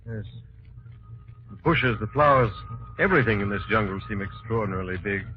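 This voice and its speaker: An actor playing a confident, suave gentleman adventurer in polished, theatrical 1940s radio style. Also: on the low side, a little fast, breathy edge. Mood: uneasy, reassuring. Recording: noisy, muffled 1940s radio recording.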